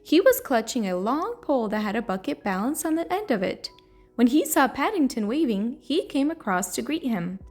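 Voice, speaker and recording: A woman reading a children's story aloud in an expressive, storytelling manner. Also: on the high side, moderate pace. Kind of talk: children's read-aloud narration. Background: soft music.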